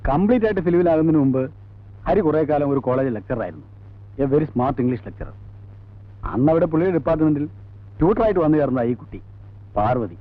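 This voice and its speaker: A forthcoming male witness explains what he knows in an old film drama. His voice is fairly high and very lively, moving quickly, on a tinny soundtrack. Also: no room sound. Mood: explanatory. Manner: animated, explanatory. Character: forthcoming male witness, a friend recalling confidences. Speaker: actor in a film. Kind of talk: 1980s film drama acting.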